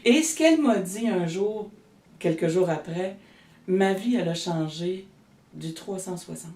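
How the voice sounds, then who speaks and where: A woman's voice: lowish voice, unhurried, dark tone, reverberant, far from the mic. A woman with a Québécois accent, a guest energy healer in a casual interview, talking in a rambling way with many restarts and fillers. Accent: Québécois French.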